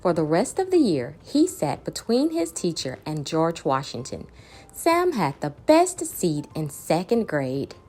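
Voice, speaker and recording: A woman reading a children's storybook aloud in an expressive, dramatic way with varied emphasis. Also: deep voice, unhurried. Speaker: children's book reader.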